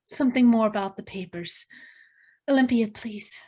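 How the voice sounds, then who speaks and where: A woman's voice: on the high side, moderate pace, darkish tone, close to an everyday voice. A woman playing a shy, nervous niece, hesitant and earnest, in a screenplay table read.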